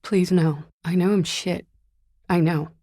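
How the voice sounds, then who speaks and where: An actress playing a weary, guarded young woman on the run in a video game, speaking in a hesitant, subdued, confessional way with a breathy, gravelly voice. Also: fairly even intonation, a little slow, warm tone, no room sound, clean studio sound. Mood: regretful, ashamed. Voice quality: low, breathy, husky and gravelly. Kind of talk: video game character dialogue, intimate and understated.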